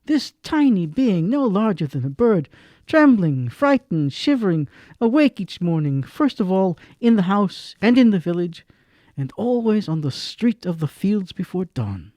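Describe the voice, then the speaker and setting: Guy narrating a radio play, slow, mellow, pitch rides way up and down. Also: no room sound, clean studio sound. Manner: slow and expressive, swings between hush and emphasis.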